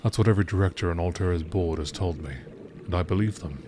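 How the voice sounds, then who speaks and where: A man reading scripted text aloud in a measured nature documentary narration style. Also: deep voice, mellow, slight background noise.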